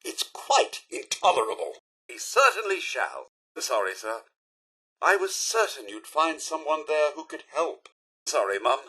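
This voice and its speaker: Video game robot butler: British, refined, easily dismayed, formal and deferential; highish pitch, bright, forceful edge. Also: male voice, breathy edge, full character voice, low-quality recording. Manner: formal, deferential, theatrically expressive. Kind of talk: video game companion voice lines.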